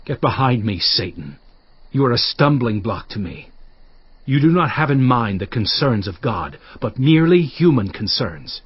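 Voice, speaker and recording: An actor playing a commanding, earnest male teacher in a dramatized audio Bible, speaking emphatically and deliberately. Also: medium pitch, very animated, husky, no room sound, muffled recording. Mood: rebuking.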